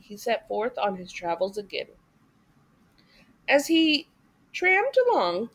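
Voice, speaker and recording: Slow, very animated woman storyteller. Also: on the high side, poor audio. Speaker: read-aloud storyteller for a classroom. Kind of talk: expressive children's story narration.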